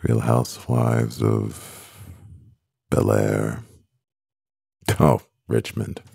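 A man acting a composed, doting CEO boyfriend in an ASMR roleplay, speaking in a measured, gravelly voice. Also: flat delivery, warm tone, no room sound, clean studio sound, full character voice. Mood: tender, teasing. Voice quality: very deep, gravelly. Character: powerful male CEO boyfriend, composed, doting, gently teasing.

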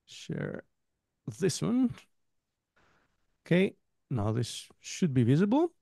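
A man's voice: on the high side, big pitch swings, takes it slowly, vocal fry, mellow, no echo, studio quality. A man in his thirties from Greece, talking casually as a guest trainer in a webinar, rambling a little and laughing between phrases. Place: home room, on a video call. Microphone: headset with desk mic.

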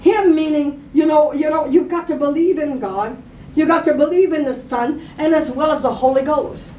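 A woman preaching a fervent sermon with emphatic, repeated exhortations that rise toward shouting. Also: high voice, moderate pace, a little hiss or hum. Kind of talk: fervent Pentecostal-style sermon with call and response. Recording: echoey room, far from the mic.

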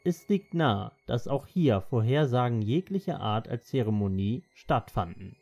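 A man's voice: mid-range voice, lively intonation, measured pace, vocal fry, darkish tone, soft background music. A man narrating a scripted documentary as its narrator.

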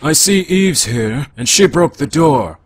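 A man's voice: fairly high pitch, animated, measured pace, very noisy. A man playing a weary old scientist in a fan parody anime dub, dry and unimpressed. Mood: wry. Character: wry old male scientist, weary of mishaps.

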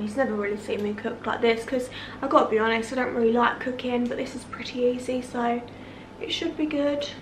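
A chatty young woman with a high, bright-sounding voice talks to camera at home, gushing with excitement and lots of fillers. Her voice is caught close on the camera mic, with a faint hum of room noise underneath. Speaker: vlogger. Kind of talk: casual cooking vlog. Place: home kitchen and living room, indoors.

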